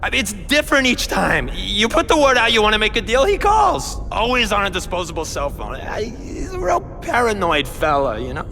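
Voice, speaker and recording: A man's voice: high voice, wildly swooping pitch, moderate pace, gravelly, hardly any pauses, bright, forceful tone, slight background noise, heavily acted. A man playing a jittery, blustering street criminal in a video game cutscene, stammering and tagging his lines with nervous questions. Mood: rattled, pleading. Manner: stammering, punctuates talk with questioning tags.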